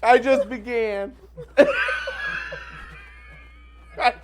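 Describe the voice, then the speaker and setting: A woman's voice: on the high side, steady tone, very slow, shouting, rough recording. A woman in her forties chatting casually as a podcast co-host, blunt and cheeky. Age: 40s. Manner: blunt, cheeky, swears freely. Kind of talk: casual chat.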